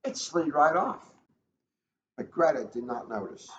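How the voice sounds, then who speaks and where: A man's voice: medium pitch, lively intonation, a little slow, a bit bright, roomy sound. A man, a police officer, reading a children's storybook aloud in a casual, informal style. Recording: dull lo-fi audio, faint noise.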